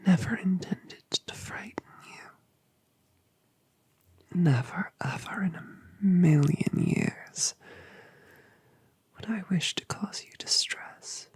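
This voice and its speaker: A woman voicing a colossal, gentle, motherly dragoness in a whispered audio drama, soothing and affectionate with genteel phrasing. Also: on the low side, slow, pauses often, husky, dry recording, full character voice. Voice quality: soft whisper.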